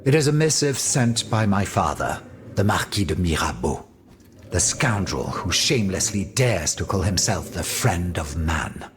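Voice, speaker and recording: A man's voice: sits low, sing-song, a little slow, a little hiss or hum. Theatrical, swooping French nobleman. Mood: bitter indignation. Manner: florid, theatrical, declamatory. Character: flamboyant, indignant French nobleman with a theatrical flair. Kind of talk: video game cutscene dramatic acting.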